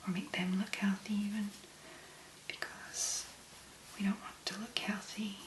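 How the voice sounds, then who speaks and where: A woman speaking very softly and breathily, in a gentle, unhurried ASMR make-up tutorial style. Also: medium pitch, little pitch movement, reverberant. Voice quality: breathy, whispery. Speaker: ASMR video creator.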